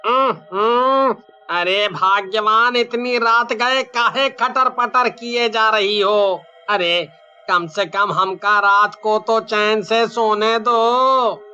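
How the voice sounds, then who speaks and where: A woman's voice: fairly high pitch, fairly even intonation, bright, forceful tone, smooth, muffled recording. A woman voicing a grumbling, wailing husband with an in a folktale. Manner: drawn-out, plaintive. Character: village husband, grumbling and given to loud wailing. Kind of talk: dramatized Hindi horror folktale.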